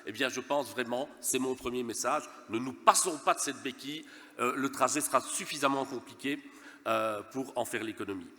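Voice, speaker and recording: A man's voice: mid-range voice, normal speed, bright, forceful tone. Belgian guy from verviers, nasal cold voice, formal speech w little jokes, keeps restarting words, echoey hall. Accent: Verviers Belgian French. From Verviers, eastern Wallonia, Belgium. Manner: formal address with light self-mocking humour, frequent stammered restarts. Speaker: speaker from a gas and electricity network company. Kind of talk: conference speech.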